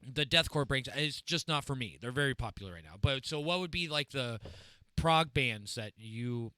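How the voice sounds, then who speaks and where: Podcast host guy, bright crisp voice, dry close mic, lots of likes and you knows. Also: mid-range voice, animated, moderate pace, dry recording. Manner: chatty, many fillers, reads out chat comments. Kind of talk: casual podcast chat.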